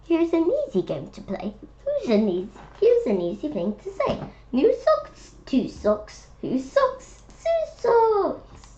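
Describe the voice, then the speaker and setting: Lady doing an eager bossy fox from a storybook, high voice jumping all over, sing-song and mischievous. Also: takes it slowly, muffled recording, heavily acted. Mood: playful challenge. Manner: excited sing-song, tongue-twisting patter.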